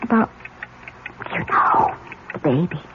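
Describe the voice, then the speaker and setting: A woman's voice: takes it slowly, lightly acted. Woman acting worried sweet young wife, tentative, old radio drama, tinny and noisy. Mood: tender, concerned. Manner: tentative, solicitous. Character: anxious, tender young pregnant wife. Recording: thin, noisy vintage radio recording.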